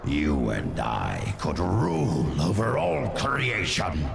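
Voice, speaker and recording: An arrogant sorcerer back from the dead for a fantasy game. The voice is deep and gravelly, with dramatic swoops and grandiose menace. Loud music plays underneath. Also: male voice, wildly swooping pitch, exaggerated voice. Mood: alarmed, then tempting. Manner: slow, grandiose, theatrical. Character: resurrected renegade male sorcerer, arrogant and power-hungry. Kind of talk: dramatic video game acting.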